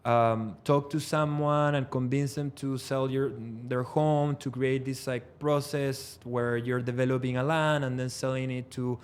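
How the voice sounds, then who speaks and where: Chilean man, smooth clear voice. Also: medium pitch, fairly even intonation, a little slow, hardly any pauses. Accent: Chilean Spanish. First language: Spanish. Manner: thoughtful, explains step by step with many fillers and restarts. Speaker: trial lawyer, podcast guest. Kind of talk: casual interview chat.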